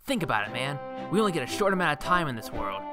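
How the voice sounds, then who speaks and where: Man as an animated, melodramatic cartoon teenage boy over music. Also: a little high, quick pace. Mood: grandly earnest. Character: excitable fourteen-year-old cartoon slacker boys and their friend. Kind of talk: amateur animated comedy dialogue.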